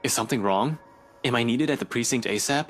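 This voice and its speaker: A man's voice: medium pitch, quick pace, a bit bright, soft background music, lightly acted. An actor playing a hot-headed young male police detective in a game, defiant and indignant. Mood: groggy, polite. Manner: indignant, pleading. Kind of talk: visual novel voice acting.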